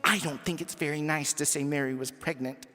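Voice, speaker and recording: A man's voice: fairly high pitch, fairly even intonation, fairly quick, pushed and bright, pitched above the performer's own voice. A man voicing a prim, disapproving young tattletale girl, prissy and self-righteous, in a children's story. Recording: echoey hall reverb with music.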